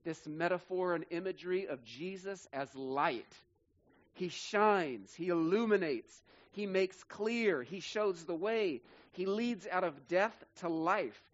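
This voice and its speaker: A man in his forties preaches as a pastor, earnest and emphatic, stacking up phrase after phrase. His voice sits a little high for a man. The recording sounds slightly muffled and lo-fi. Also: slow pace. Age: 40s. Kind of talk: sermon.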